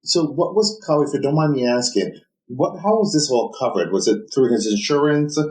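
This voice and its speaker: A man's voice: medium pitch, expressive, brisk, a little mellow, roomy sound, poor audio. A man hosting an interview podcast, listening attentively, backchanneling often and summing up his guest's points. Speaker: podcast host. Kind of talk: conversational interview.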